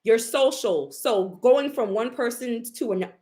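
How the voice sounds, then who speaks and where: Brash, profane high-voiced woman. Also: moderate pace, warm tone. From Haitian family. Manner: brash, profane, sassy, emphatic. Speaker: psychic reader. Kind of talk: casual tarot reading chat.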